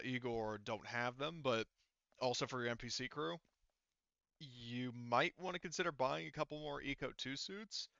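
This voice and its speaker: Bright, crisp-voiced man running a game, casually profane. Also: mid-range voice, no room sound, lo-fi sound. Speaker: game master. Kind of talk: casual explaining during an online tabletop roleplaying session.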